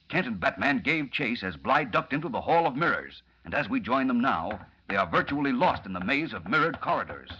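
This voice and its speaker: A man's voice: mid-range voice, pushed and bright, husky, lo-fi sound. A man narrating a vintage radio drama in an emphatic, suspenseful scripted style.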